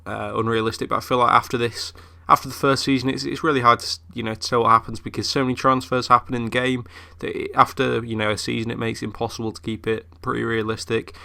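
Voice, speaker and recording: A man's voice: mid-range voice, flat delivery, quick pace, creaky, hardly any pauses, bright, forceful tone. A young man who is ill, chatting casually through game commentary with lots of fillers. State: ill, voice a bit off. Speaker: gaming YouTuber.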